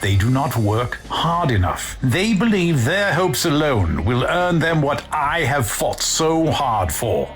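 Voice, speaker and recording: A man's voice: a little high, big pitch swings, slow, hardly any pauses, faint music behind. A man in an audio drama playing a haughty, greedy ancient Egyptian landowner with a pompous, disdainful manner. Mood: haughty, indignant.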